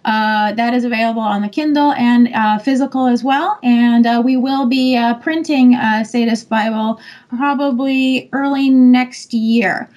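A woman publisher answering interview questions firmly and matter-of-factly, with frequent pauses. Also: on the high side, steady tone, darkish tone. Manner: firm, matter-of-fact, many "uh" pauses. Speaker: publisher and editor-in-chief. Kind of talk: podcast interview.

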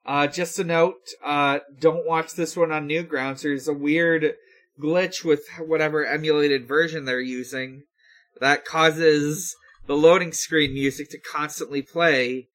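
Man, slightly high voice, slow pace, hesitant, casual podcast co-host, explanatory recap. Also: steady tone, fairly bright tone. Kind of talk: casual episode recap with co-hosts.

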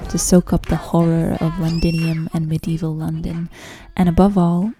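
A woman's voice: sits low, flat delivery, moderate pace, over music. A woman whose first language is Dutch, reading a travel memoir aloud in a reflective, wry way. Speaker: narrator. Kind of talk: scripted travel memoir narration.

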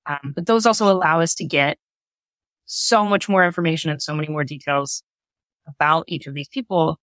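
A woman's voice: sits low, normal speed, fairly bright tone, dry recording, poor audio. A woman speaking as an interview guest, explaining creative work in a casual, conversational style.

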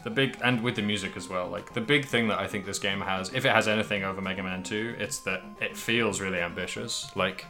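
Low-pitched man, bright voice, chatting casually. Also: steady tone, a little fast, hardly any pauses, soft background music. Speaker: podcast co-host. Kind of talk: casual chat about a video game.

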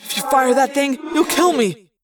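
A woman's voice: high-pitched, rapid-fire, crowd noise, pitched above the performer's own voice, close to an everyday voice. A woman voicing a male giant alien worm in a science fiction story, crazed with hunger and frantic with anguish. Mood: urgent warning. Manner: anguished, pleading, frantic outbursts.

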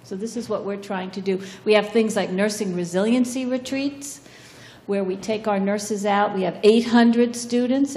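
A woman dean introducing a panel and talking about her program, pausing often on "um". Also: medium pitch, darkish tone, long reverb tail. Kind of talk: panel introduction and short talk. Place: large lecture room.

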